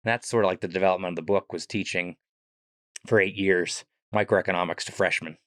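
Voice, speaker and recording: A man with a Pittsburgh accent, a guest on a podcast, explaining ideas quickly and animatedly and swearing casually. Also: sits low, steady tone, no echo, clean studio sound. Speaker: guest, economics professor and comedian.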